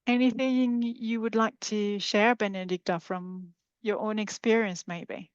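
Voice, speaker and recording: A woman's voice: mid-range voice, fairly even intonation, measured pace, smooth, dry recording. Woman host on a dull lo-fi call. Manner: inviting, checks in with participants. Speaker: coaching trainer and host. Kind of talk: leading a group video call.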